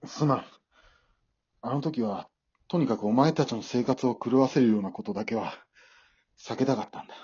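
A man's voice: mid-range voice, normal speed, long pauses, warm tone, breathy edge, lo-fi sound, natural voice. A man acting in an amateur radio drama as an awkward, remorseful middle-aged father, hesitant and easily flustered. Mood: remorseful, subdued.

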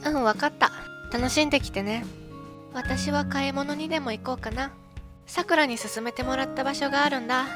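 A woman acting a loving but jealous, suspicious young wife in an amateur radio drama, expressive and often talking to herself. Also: sits high, a little fast, lightly acted. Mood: cheerful. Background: music.

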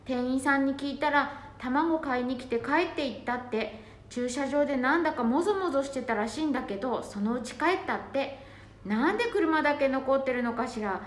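A woman's voice: sits high, expressive, normal speed. A woman playing a polite, anxious daughter-in-law in an amateur radio drama. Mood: worried. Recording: echoey room, faint noise.

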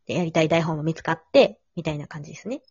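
A woman's voice: low-pitched, rapid-fire, darkish tone, breathy edge, no echo, dull audio. A woman hosting a casual three-person chat in polite, conversational phrasing. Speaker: host.

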